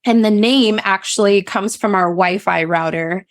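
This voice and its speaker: A woman's voice: medium pitch, unhurried, dry recording, clean studio sound. A woman speaking as an interview guest, telling personal stories in a conversational way. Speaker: podcast guest, food blogger.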